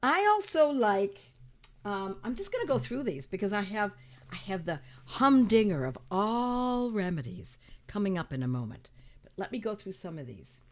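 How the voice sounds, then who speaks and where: Hoarse raspy woman, lively pitch. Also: normal speed. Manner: animated and emphatic, repeats phrases, talks to viewers as friends. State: hoarse from a cold. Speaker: homeopathy teacher hosting her own channel. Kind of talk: casual talk to camera.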